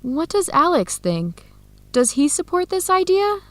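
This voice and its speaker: An actress playing a troubled, earnest young American scholar in a radio drama for English learners. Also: female voice, very high voice, sing-song, measured pace, dry recording, heavily acted. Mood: alarmed, disapproving. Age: young adult.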